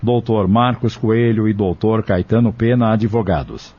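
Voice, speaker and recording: A man with a husky, mellow voice narrating a scripted audio drama. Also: lowish voice, normal speed. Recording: dull, lo-fi recording.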